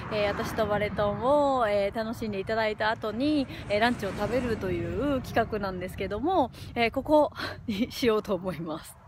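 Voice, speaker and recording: A woman in her forties, a diet coach, chatting cheerfully to a phone camera held at arm's length outdoors on a windy, snowy mountainside, with many filler pauses. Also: medium pitch. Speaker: diet coach and fitness instructor. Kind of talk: casual vlog to camera.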